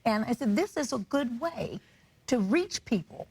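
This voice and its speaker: A woman's voice: on the high side, expressive, a little slow, a little mellow, slightly rough, dry recording. A woman speaking as a stage director in a casual interview.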